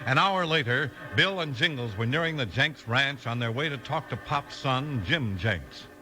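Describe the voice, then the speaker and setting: A polished American male announcer in 1950s radio style. His voice is mid-pitched and forceful, swinging widely in tone as he delivers scripted copy with emphasis. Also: expressive, normal speed, faint music behind, low-quality recording. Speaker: announcer and narrator.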